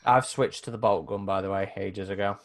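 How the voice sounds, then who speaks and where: A man's voice: low voice, fairly even intonation, a little fast, a crowd in the background. A man in casual discussion as a player in a tabletop roleplaying game.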